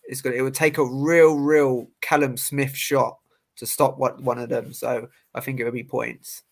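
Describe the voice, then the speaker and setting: A man's voice: medium pitch, level tone, normal speed, vocal fry. A young man, a boxer hosting a podcast, chatting casually with lots of fillers and restarts. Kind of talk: casual chat.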